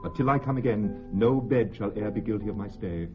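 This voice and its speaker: An actor playing a loyal, ardent young Venetian gentleman in classical radio drama, with formal, impassioned verse. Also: lowish voice, a little fast, natural voice. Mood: grieving, subdued. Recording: dull vintage radio recording, music behind.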